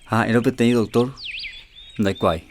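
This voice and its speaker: A man's voice: mid-range voice, fairly even intonation, normal speed, a little hiss or hum, close to an everyday voice. A man playing a casual, skeptical footballer. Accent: Paraguayan. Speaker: Roberto, a football team player. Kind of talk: educational radio drama acting.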